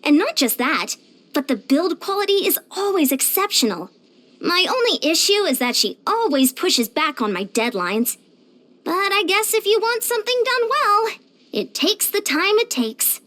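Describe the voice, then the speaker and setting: A woman voicing a short, determined, energetic young female fitness coach in a video game, earnest and plainspoken. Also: extremely high pitch, moderate pace, exaggerated voice. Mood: warm admiration. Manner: earnest, plainspoken, chatty, confident. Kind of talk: animated video game character voice lines.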